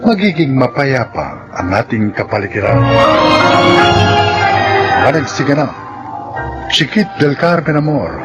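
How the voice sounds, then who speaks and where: Solemn, animated male drama announcer over music. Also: mid-range voice, poor audio. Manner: solemn, formal. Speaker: radio drama narrator and announcer. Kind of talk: dramatic scripted narration. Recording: music behind the narration.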